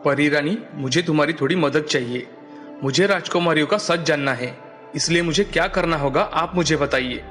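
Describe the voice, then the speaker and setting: A man playing a calm, brave young fairy-tale hero in children's story dialogue, speaking earnestly. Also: on the high side, normal speed, natural voice. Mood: respectful, pleading. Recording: long hall reverb, music underneath.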